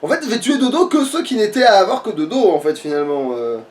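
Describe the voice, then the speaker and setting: High-voiced man in crude gaming chat. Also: sing-song, fast talker. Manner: crude, slangy, swearing. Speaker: video game player. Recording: distant from the mic.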